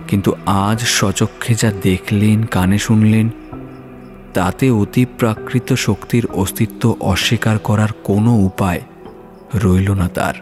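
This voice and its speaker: A man narrates a horror story in a low voice, very slowly and with measured drama. His delivery is suspenseful and scripted, with music clearly playing behind him. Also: a little mellow.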